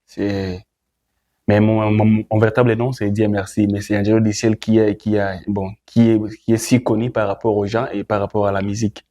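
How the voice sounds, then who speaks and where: Mellow, lowish young Congolese man. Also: flat delivery, moderate pace. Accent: Congolese French. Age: young adult. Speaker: guest, gospel musician. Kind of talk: interview answers.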